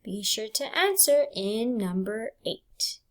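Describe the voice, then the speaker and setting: Slow, high-voiced woman teacher reading aloud. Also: big pitch swings. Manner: deliberate, enunciated item-by-item delivery for students. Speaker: English language arts teacher. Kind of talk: reading test questions and answer choices aloud.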